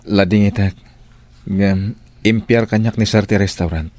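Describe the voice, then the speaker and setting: A man's voice: lowish voice, fairly even intonation, measured pace, darkish tone, dry recording. A man playing a dutiful, firm restaurant employee in a radio drama, with a Filipino accent. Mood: firm, guarded.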